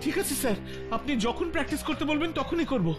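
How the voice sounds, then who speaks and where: A man's voice: extremely high pitch, quick pace, over music. A man voicing an earnest, pleading young boy runner in a cartoon dub, who often repeats himself. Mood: eager. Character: earnest, dejected boy runner eager to win. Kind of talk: cartoon dubbing.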